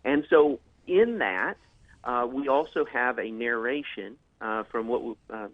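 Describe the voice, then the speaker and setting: A man's voice: medium pitch, measured pace, no room sound. Man talking over a tinny phone line. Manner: hesitant, many fillers and restarts. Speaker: guest on a political talk show. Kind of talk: casual promotional talk.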